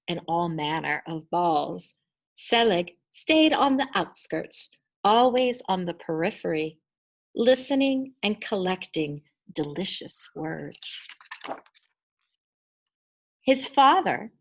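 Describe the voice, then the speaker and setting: A woman reading a children's story expressively, with deliberate, dramatic emphasis on her words. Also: fairly low pitch.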